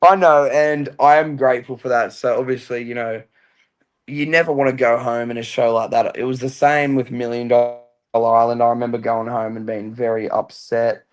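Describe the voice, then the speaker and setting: A young man with a loud voice, chatting upbeat as a guest in a casual podcast interview. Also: mid-range voice, flat delivery, moderate pace. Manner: chatty, upbeat, says "I feel like" a lot. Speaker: reality show contestant, guest.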